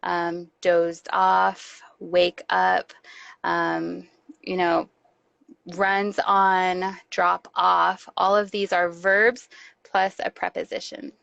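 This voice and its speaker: Gravelly-voiced American woman teacher. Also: fairly low pitch, flat delivery, very slow, fairly bright tone, no room sound, dull audio. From Los Angeles, California, USA. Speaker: English teacher guest. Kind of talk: explaining phrasal verbs.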